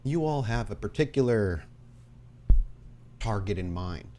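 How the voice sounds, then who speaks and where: A man acts out a commanding crime patriarch in a tabletop horror game. His voice is low and his words are measured and deliberate, cool and in control. A light layer of noise sits behind him. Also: expressive, mellow. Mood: calm menace. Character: commanding, coolly smiling male crime patriarch with ringed fingers.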